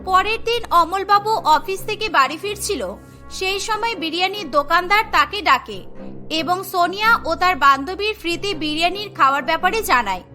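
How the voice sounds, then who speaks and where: A woman's voice: very high voice, expressive, normal speed, bright, forceful tone, soft background music. A woman narrating a scripted cartoon story.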